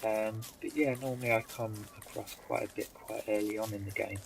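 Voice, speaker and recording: A man's voice: fairly low pitch, steady tone, fairly quick, vocal fry, noisy background. A young man commentating his game in a casual, hesitant way, a bit stuffy from hay fever. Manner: hesitant, many ums and restarts. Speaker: gamer commentating his own play. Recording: loud game music behind.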